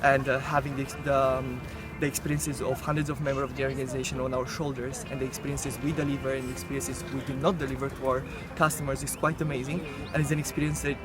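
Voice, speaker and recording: A man in his twenties from Romania with a Romanian accent, presenting himself earnestly and haltingly in a semi-prepared video. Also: medium pitch, level tone. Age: 20s. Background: loud music.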